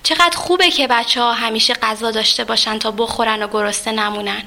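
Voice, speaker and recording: A gentle storybook cloud that longs to rain, musing softly to itself in a high, bright voice, as a woman reads it. Its tone is tender and wistful. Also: fairly even intonation, vocal fry, a little hiss or hum. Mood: sad.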